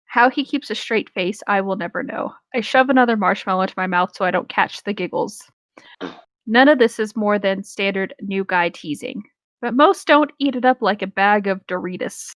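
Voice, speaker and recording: A woman's voice: mid-range voice, moderate pace, a bit bright, no echo. A woman chatting casually and reading a story aloud, in an informal, rambling way.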